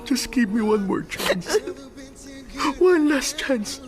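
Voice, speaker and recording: An actor with a Filipino accent playing a remorseful man, halting and earnest, pleading for forgiveness in an emotional radio drama. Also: very high voice, lively intonation, heavily acted. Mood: tearful pleading. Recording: music under the dialogue. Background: music.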